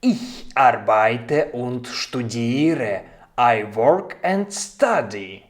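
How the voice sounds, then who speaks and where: Male language tutor for scripted beginner lessons: extremely unhurried, instructional, with animated intonation and deliberate repetition. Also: medium pitch. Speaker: language teacher. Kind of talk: scripted language lesson with repeated example phrases.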